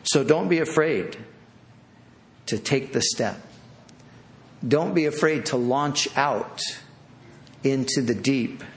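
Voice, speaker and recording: A pastor preaching a sermon to a room of listeners, earnest and pleading, with repeated phrases and restarts. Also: male voice, medium pitch, takes it slowly, long pauses. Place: church hall with an audience.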